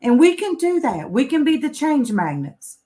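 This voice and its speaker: A woman's voice: high voice, sing-song, normal speed, dark tone. A woman speaking as a panel guest in a conversational, inspirational style, earnest and encouraging.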